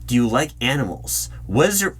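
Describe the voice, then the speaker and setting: A man performing a spoken ASMR roleplay as a suspicious, probing hiring manager of a secret bureau, asking questions deliberately with dramatic pauses. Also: medium pitch, very animated. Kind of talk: loud-spoken ASMR roleplay, one-sided interview. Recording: clear hum and keyboard typing noise. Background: typing on a computer keyboard.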